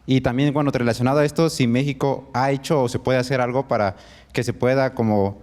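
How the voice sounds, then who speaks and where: A man asking a question from the audience at a forum, hesitant and halting. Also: medium pitch, fairly even intonation, a little mellow. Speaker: student audience member. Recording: long hall reverb.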